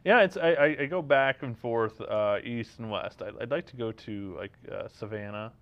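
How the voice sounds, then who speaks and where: A man's voice: medium pitch, moderate pace. Casual male co-host, slightly muffled sound. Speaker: podcast co-host. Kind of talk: casual comic chat.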